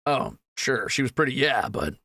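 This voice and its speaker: A man's voice: medium pitch, normal speed, gravelly, pushed and bright, no echo, clean studio sound. A man playing a greedy, cheeky young thief in a fantasy audio roleplay, teasing and flippant. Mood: casual teasing.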